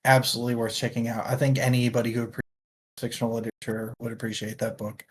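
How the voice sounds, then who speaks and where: A man's voice: medium pitch, monotone, quick pace, husky. A man hosting a casual book chat livestream, talking in a rambling, jokey and self-deprecating way. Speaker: book club podcast host.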